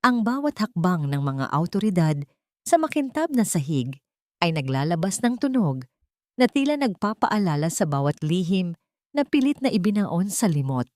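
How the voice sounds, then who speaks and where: A woman's voice: fairly low pitch, very animated, normal speed, warm tone, dry recording. A woman with a Filipino accent reads a scripted dramatic audio story as the storyteller.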